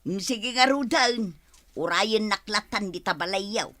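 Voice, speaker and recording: A woman's voice: medium pitch, unhurried, a bit bright, lightly acted. An actress playing an agreeable woman who accepts a delivery job. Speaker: friend hired to deliver goods. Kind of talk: Ilocano radio drama acting.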